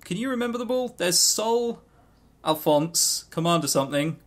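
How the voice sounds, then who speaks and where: A man co-hosting a podcast in casual chat, talking informally and haltingly with repeated words. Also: high-pitched, lively intonation. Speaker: podcast co-host.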